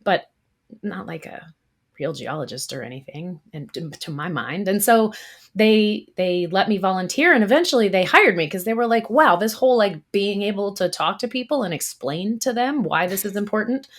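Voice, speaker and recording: A woman from the US East Coast, a guest in a podcast interview, telling her story in a lively, expressive way. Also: mid-range voice, normal speed. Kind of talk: casual interview talk.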